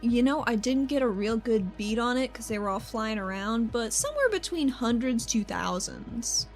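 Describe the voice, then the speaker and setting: High-voiced woman playing a tabletop game. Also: big pitch swings, normal speed, faint music behind. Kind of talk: casual game chat and improvised role-play.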